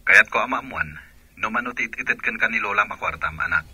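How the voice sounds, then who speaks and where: A troubled, unfaithful husband in a radio drama. His mid-pitched voice is sharp and rough around the edges. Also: quick pace, full character voice. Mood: coaxing. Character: troubled unfaithful husband and absent father, adult. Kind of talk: Ilocano radio drama acting.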